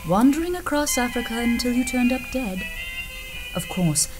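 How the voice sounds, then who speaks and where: An actress playing a fierce, proud adventuress and jungle guide in a 1930s-style radio serial. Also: female voice, fairly high pitch, big pitch swings, moderate pace, music in the background. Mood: defiant.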